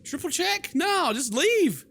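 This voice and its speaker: A male gaming host with a high, bright voice reads game text and riffs on it. His delivery is theatrical and sarcastic, leaping around in pitch as he exclaims. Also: sing-song, slow, creaky. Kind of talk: let's play commentary mixed with reading game text aloud.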